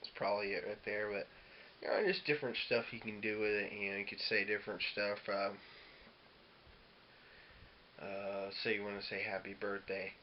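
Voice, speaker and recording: A man's voice: lowish voice, steady tone, normal speed, long pauses. A man giving a casual, hesitant how-to demo as a musician, explaining things informally and loosely. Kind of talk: casual instructional demo talk. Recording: dull lo-fi audio with clear hiss.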